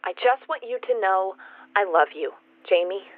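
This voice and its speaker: An actress playing a torn young small-town woman with big dreams, in a heartfelt, halting radio play monologue. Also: medium pitch, animated, natural voice. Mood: torn, yearning. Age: young adult. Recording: muffled audio with faint music. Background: faint music.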